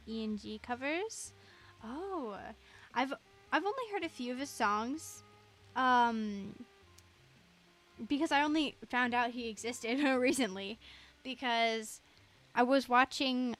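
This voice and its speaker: A woman's voice: on the high side, a bit bright. A young woman livestreaming a game, chatting casually in a gushing, chatty way.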